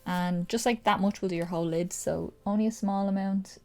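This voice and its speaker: A woman's voice: medium pitch, fairly even intonation, brisk, mellow. A young Irish woman with an Irish accent, chatting casually through a makeup tutorial, gushing and explaining each step as she goes. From Ireland. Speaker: makeup vlogger.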